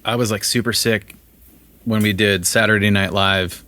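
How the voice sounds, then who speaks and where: A man's voice: fairly low pitch, steady tone, moderate pace, bright, forceful tone, a little hiss or hum. A man hosting a casual conversation as a musician, talking candidly and self-deprecatingly while he searches for words. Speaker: host musician.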